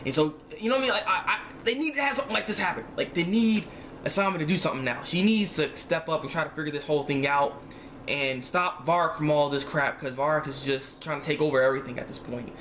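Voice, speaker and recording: High-pitched, rambling man reviewing casually. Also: quick pace, fairly bright tone, slight background noise. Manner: exasperated, rambling, emphatic repetition; informal slangy speech. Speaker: online reviewer. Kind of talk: casual animated series episode review.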